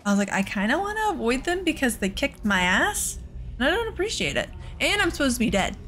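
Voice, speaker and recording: A woman's voice: sits high, sing-song, fairly quick, a bit bright, slight background noise. A woman gameplay streamer giving casual, chatty let's play commentary while she plays.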